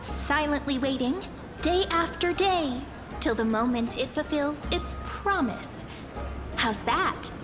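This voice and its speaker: A woman voicing a cheerful, mischievous young female adventurer in an anime-style game, playful and unhurried. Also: high voice. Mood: encouraging. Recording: music behind the voice. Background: game music.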